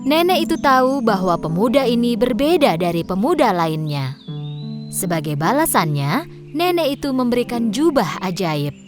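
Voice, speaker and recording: A woman narrating a children's cartoon tale in a bright, higher voice with lively, swooping intonation. Soft music plays behind her. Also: moderate pace. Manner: expressive, lilting storytelling. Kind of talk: scripted storybook narration.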